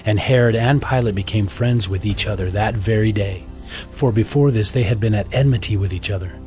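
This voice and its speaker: A man narrating a dramatized scripture audiobook in a measured, solemn, articulate way. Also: on the low side, darkish tone. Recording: background music under narration.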